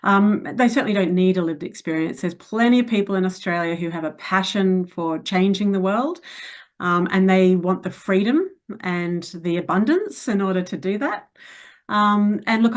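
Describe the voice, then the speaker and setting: A woman's voice: mid-range voice, moderate pace. A middle-aged woman from New Zealand answering interview questions in a frank, reflective way with many hesitations. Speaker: interview guest.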